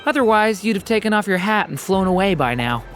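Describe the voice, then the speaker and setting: An actor voicing a gentle, polite young traveller in an anime game, earnest and kindly. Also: mid-range voice, lively intonation, fairly quick, soft background music, lightly acted. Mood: gently teasing. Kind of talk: anime game cutscene acting.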